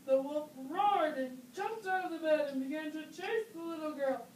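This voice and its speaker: A woman reads scripted narration for a children's puppet show. Her voice is high. She is recorded at a distance in a roomy space with faint noise. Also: normal speed.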